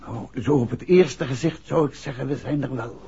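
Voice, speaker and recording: An actor playing an unhurried, courteous middle-aged detective and husband in a 1960s radio play, dry and lightly amused. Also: male voice, medium pitch, gravelly, dark tone, husky. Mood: relaxed, amused. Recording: muffled old 1960s radio recording.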